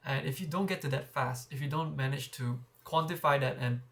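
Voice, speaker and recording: A man's voice: mid-range voice, brisk, creaky, fairly bright tone. A young man talking candidly in a casual vlog, reflecting with frequent restarts and repeated words, recorded on a clip-on shirt mic. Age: young adult. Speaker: vlogger.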